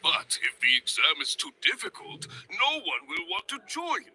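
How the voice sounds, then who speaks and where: Blustery guild examiner, wildly animated. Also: male voice, on the high side, normal speed, a little hiss or hum, full character voice, low-quality recording. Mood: exasperated. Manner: theatrical, emphatic. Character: blustery, enthusiastic adventurers' guild exam examiner. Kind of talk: English video game voice acting.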